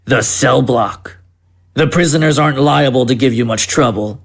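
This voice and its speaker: An actor playing a menacing military captain, clipped and commanding, with cold cruel amusement, in an audio drama. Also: male voice, medium pitch, normal speed, gravelly, breathy edge.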